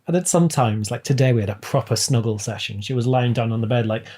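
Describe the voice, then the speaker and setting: A man chatting casually as a podcast guest, playful and expressive. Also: medium pitch, fast. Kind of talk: casual chat.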